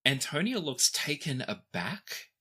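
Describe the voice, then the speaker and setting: A man's voice: mid-range voice, very animated, measured pace, bright, forceful tone, slightly rough. A man narrating a tabletop game as game master.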